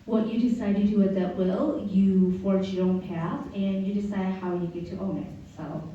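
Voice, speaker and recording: A woman's voice: mid-range voice, fairly even intonation, normal speed, slight background noise. A woman on a panel speaking in a reflective, conversational way. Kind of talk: panel discussion. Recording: dull echoey room, mic far off.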